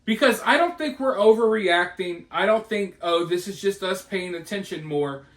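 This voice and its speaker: A man's voice: high-pitched, normal speed, fairly bright tone. A man giving casual, opinionated commentary on breaking news as the host of a vlog. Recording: roomy, echoey voice recording.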